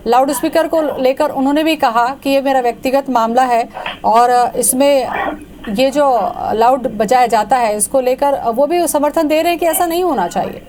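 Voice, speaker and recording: A woman's voice: high voice, normal speed, darkish tone, a little hiss or hum. A woman anchoring the news and moderating a live debate. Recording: music bed behind the voice.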